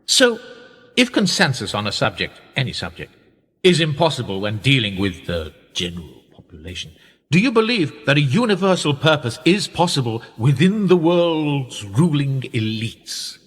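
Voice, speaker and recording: Guy playing posh british power broker in a radio play, smug and patronising, husky voice, big echoey hall. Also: medium pitch, very animated. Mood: smug, measured. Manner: patronising, measured, pompous. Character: smug, wealthy upper-class male power broker, condescending and manipulative. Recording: reverberant hall-like echo.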